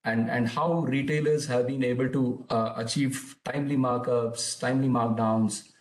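A man moderating a webinar over a video call, hesitant and filling pauses with "uh". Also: mid-range voice, steady tone. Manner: hesitant, many "uh" fillers. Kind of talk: webinar introduction. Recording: echoey room over a call.

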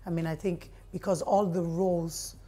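Reflective low-voiced woman in interview. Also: steady tone, moderate pace, mellow. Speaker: guest, playwright and director. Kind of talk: conversational interview answers. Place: open-plan office studio.

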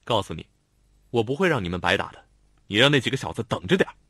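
Brief: man playing a cornered young guy, hot-tempered, sharp and loud, storybook reading. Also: sits low, very animated, moderate pace, slightly rough, no echo, clean studio sound, exaggerated voice. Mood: threatening. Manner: angry, sharp, raised. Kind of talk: audiobook character voice.

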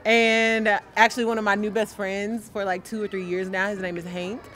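Young woman, from Dallas, casual storytelling, animated, outdoors, faint ambience. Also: mid-range voice, moderate pace, a little hiss or hum. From Dallas, Texas. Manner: chatty and animated, gesturing with her hands. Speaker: interviewee. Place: outdoors on a sunny lawn by apartment buildings.

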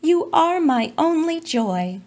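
Woman as a doting storybook mother, lively pitch. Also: takes it slowly, full character voice. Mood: coaxing. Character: doting mother coaxing her difficult young son. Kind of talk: children's storybook reading.

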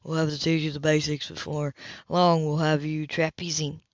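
A woman's voice: low-pitched, little pitch movement, normal speed, no echo, pitched below the performer's own voice. A woman voicing an easygoing, teasing male sailor in an audiobook. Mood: relaxed teasing. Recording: dull, lo-fi home recording.

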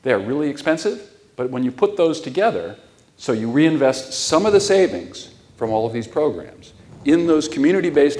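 A man's voice: mid-range voice, a little mellow. A middle-aged man giving a conference keynote lecture on a ballroom stage, explaining steadily with emphatic stresses. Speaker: keynote speaker.

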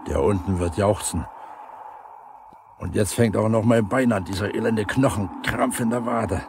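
A stubborn, tired older brigade leader in a 1970s East German radio play. The voice sits on the low side and talks gruffly and plainly. There is faint noise from the old record. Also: male voice, unhurried. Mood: exhausted, stubborn.

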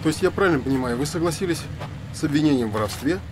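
A man's voice: a little high, animated, racing, natural voice. A man in his forties playing a persistent, inquisitive theatre director in a naturalistic screen drama. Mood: concerned, probing. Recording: film dialogue over street and car noise.

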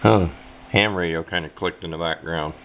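A man's voice: low-pitched, steady tone, normal speed, noisy background. A man giving a casual hands-on how-to demonstration in a plain-spoken, rambling, offhand way. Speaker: hobbyist presenter. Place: indoors at a workbench. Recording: tinny, band-limited camera microphone sound.

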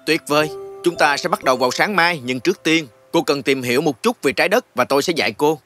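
Casting: actor as kind, patient male guide for a fantasy dub, slightly high voice, gentle explainer, soft music behind. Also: fast, natural voice. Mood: kind, helpful. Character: kind, patient human man guiding a newcomer. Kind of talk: dubbed fantasy film dialogue.

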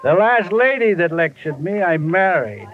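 A man's voice: sits high, sing-song, normal speed, a little hiss or hum. A man playing a rambling, forgetful, self-mocking drunken old informant in a 1950s radio drama, slurring grandly. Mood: bitterly sardonic. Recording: tinny vintage radio recording, music behind.

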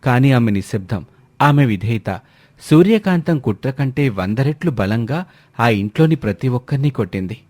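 A man's voice: medium pitch, expressive, normal speed, darkish tone. A man narrating a scripted family story as a dramatic storyteller.

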